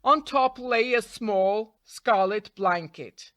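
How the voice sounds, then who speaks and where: A woman tells a fairytale in a deliberate, expressive way. Her voice sits at a middling pitch for a woman. The sound is dry, with no room around it. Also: dry recording. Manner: expressive, deliberate storybook reading. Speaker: storyteller. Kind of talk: scripted fairytale narration for English learners.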